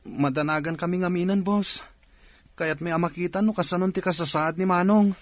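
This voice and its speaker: A male actor as a young worker in a radio drama, respectful and worried. His voice sits fairly high and sounds close and dry. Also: fairly even intonation, fairly quick, dry recording, natural voice. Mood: anxious, pleading.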